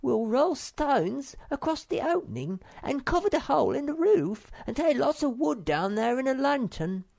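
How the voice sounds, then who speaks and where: Lady doing a squeaky little guy, all excited and boastful, pitched way up. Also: moderate pace, creaky, few pauses, full character voice. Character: small excitable boastful male creature Sniff. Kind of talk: audiobook character voice.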